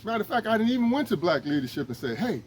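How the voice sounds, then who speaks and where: A man's voice: high-pitched, big pitch swings, very fast, a little mellow, slight background noise. A man, an emergency management instructor, making an earnest, rambling, pleading appeal outdoors, with many restarts. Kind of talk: casual direct-to-camera appeal.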